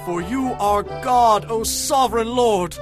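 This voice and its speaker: Music plays behind a devout king with a high voice. He prays humbly and earnestly, drawing out his phrases with reverent awe in an audio Bible drama. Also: slow. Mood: reverent pleading.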